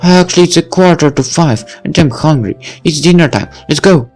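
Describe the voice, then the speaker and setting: A blunt, no-nonsense teenage girl from a visual novel, as a man pitches his voice up to play her. She sounds matter-of-fact and impatient, well above his usual range. Soft music runs behind her. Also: normal speed. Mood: insistent.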